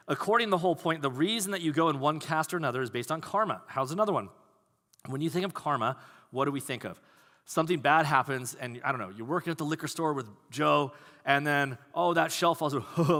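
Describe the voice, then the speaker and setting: A man preaching a sermon as pastor, talking in a conversational, animated way. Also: fairly high pitch, fairly quick. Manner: conversational, animated, acts out quoted voices. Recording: long hall reverb.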